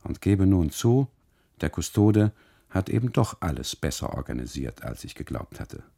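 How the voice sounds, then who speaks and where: A man's voice: deep voice, sing-song, normal speed, gravelly, mellow. A man performing a first-person literary monologue for radio, with theatrical, emphatic phrasing.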